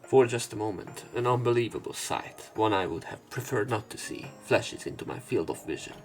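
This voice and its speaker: Guy reading a visual novel out loud, lowish creaky voice, music faintly behind. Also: lively intonation, moderate pace. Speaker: let's play host. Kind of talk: reading visual novel narration and dialogue aloud.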